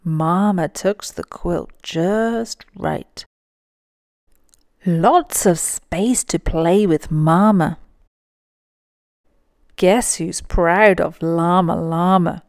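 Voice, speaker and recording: A woman reading a picture book aloud for children, deliberate and animated, with dramatic emphasis on key words. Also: on the low side, creaky, no room sound, clean studio sound.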